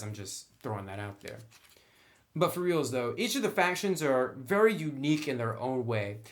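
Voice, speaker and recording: A man's voice: medium pitch, fairly bright tone. A man reviewing in a casual, conversational and opinionated way, speaking informally like a hobby commentator. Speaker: tabletop game book reviewer.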